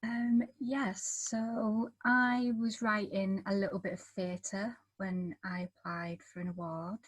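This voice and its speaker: A woman screenwriter introduces herself on an online panel in a chatty, informal way. Her voice is husky and the sound is dry, with little room to it. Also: mid-range voice, little pitch movement, takes it slowly, no echo. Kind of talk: casual, chatty self-introduction at an online event.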